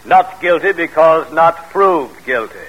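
A man's voice: on the high side, lively intonation, a little slow, a bit bright, low-quality recording. An actor playing a solemn jury foreman in old-time radio drama, speaking formally and declamatorily.

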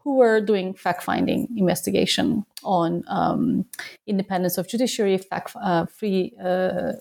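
A woman in her forties from Turkey speaks in a mellow, gravelly voice. She answers interview questions as a human rights lawyer, pausing often and restarting her sentences as she thinks aloud. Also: mid-range voice, steady tone. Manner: thoughtful, many fillers and restarts.

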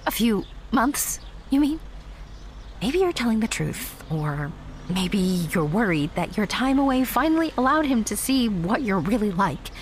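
A woman's voice: mid-range voice, big pitch swings, measured pace, a little hiss or hum. A young woman playing a candid, skeptical theater girl in a naturalistic audio drama, conversational but pointed. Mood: frank, firm.

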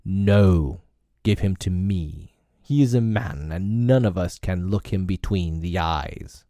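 A man putting on a hateful, bullying tiger, scornful and snarling. The voice is deep and dark, drawn out slowly, heard close and dry. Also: no room sound. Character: huge male tiger, cruel, hateful bully. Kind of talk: storybook reading.

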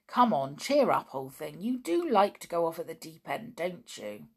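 A woman voicing a sensible, bossy older boy in a storybook, brisk and authoritative but reassuring. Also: mid-range voice, very animated, a bit bright, full character voice. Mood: kinder, reassuring.

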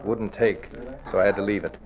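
A man's voice: fairly low pitch, fairly quick, lightly acted. A man playing a cocky, scheming gangster with a tough, confident way of talking in an old-time radio drama. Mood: wry. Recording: dull old radio recording.

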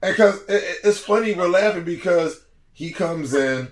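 A man in his forties, a podcast guest, telling stories in a casual, slangy, animated way and laughing as he goes. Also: high voice, moderate pace. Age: 40s. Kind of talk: casual storytelling chat.

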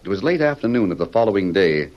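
Low-pitched, dramatic radio western narrator man. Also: very animated, fast. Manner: florid, urgent storytelling delivery. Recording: muffled old radio recording with music.

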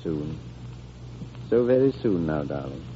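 A man playing a devoted, sorrowful father in a vintage American radio drama, speaking earnestly and tenderly, with a halting delivery. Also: very deep voice, sing-song, exaggerated voice. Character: devoted, sorrowful father shielding a dying child with fairy tales. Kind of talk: 1930s-40s American radio drama acting. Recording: muffled old radio recording with hiss.

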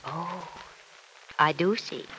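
An actress in a vintage radio drama playing a sensible, kindly, gently wry single woman with a reassuring, plain-spoken manner. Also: low voice, slow, heavily acted. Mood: wry, attentive. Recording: noisy old radio show recording.

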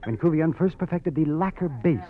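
A man's voice: on the high side, expressive, a little fast, lightly acted. A man acting a small, nervous, fussy lawyer in his fifties, formal and urgent, in 1940s radio style. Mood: confiding, anxious. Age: 50s. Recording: dull, band-limited old radio recording.

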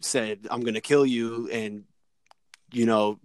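Dry-voiced man telling history, unhurried. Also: mid-range voice, steady tone, smooth, dry recording. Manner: measured, hesitant storytelling with fillers. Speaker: guest. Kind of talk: casual podcast chat. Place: home, remote call.

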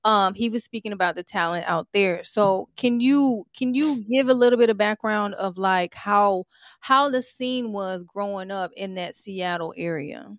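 A woman's voice: mid-range voice, unhurried, no room sound, clean studio sound. A woman in her forties from Tennessee hosting an interview, talking in a loose, rambling way full of fillers. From Tennessee, USA. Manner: rambling, uses fillers like "you know" and "like". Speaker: interview host. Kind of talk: casual interview chat.

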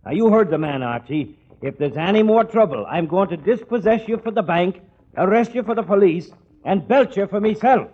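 High-voiced blustery cop, old radio. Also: male voice, lively intonation, moderate pace, breathy edge. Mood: gleefully threatening. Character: blustery, threatening aging male beat policeman. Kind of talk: old-time radio comedy acting. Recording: dull, slightly noisy old radio recording.

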